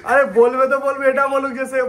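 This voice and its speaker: High, fast-talking young man. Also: completely flat delivery, a loud crowd. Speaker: vlogger, prankster son-in-law. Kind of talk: casual vlog chat.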